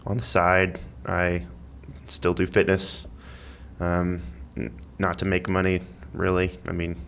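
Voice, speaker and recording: A man chatting casually as a podcast guest, in a halting, rambling way as he thinks aloud. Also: low-pitched, monotone, gravelly, fairly bright tone, a little hiss or hum. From Missouri, United States. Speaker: podcast guest, amateur athlete and school IT worker. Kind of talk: casual interview chat.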